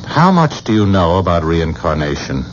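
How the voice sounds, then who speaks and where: A man's voice: deep voice, big pitch swings, normal speed. An actor playing a worried, earnest male friend in a radio drama, grave and persuasive. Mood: grave, insistent. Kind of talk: 1970s American radio drama acting. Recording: muffled old radio broadcast with faint hiss.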